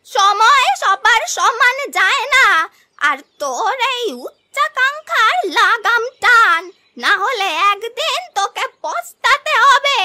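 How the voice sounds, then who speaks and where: A woman acts out an old begging grandmother from a cartoon folk tale. She scolds in a very high, piercing voice that swings up and down, full of folksy sayings. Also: pushed and bright, full character voice. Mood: chiding. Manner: chiding, folksy, proverb-quoting. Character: scolding old village grandmother who begs for food. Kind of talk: animated folk tale acting.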